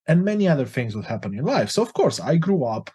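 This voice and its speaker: A man's voice: on the high side, sing-song, quick pace, warm tone, slightly rough. A forty-year-old man from Romania, a life coach telling his life story in an interview, earnest and open. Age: 40s.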